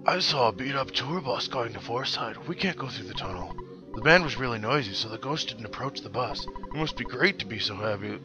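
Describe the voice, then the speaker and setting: A man's voice: mid-range voice, expressive, fairly quick, over music, close to an everyday voice. A man voicing a gossiping townsperson from a spooky video game, reading the dialogue out plainly. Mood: matter-of-fact.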